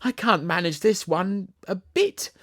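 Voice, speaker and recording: A man's voice: sits high, animated, a little slow, a bit bright, no echo, studio quality, pitched above the performer's own voice. Man putting on a spluttering, panicky little chess king. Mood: flustered, panting. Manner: aghast, spluttering, dramatic. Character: small, pompous, panicky old chess king.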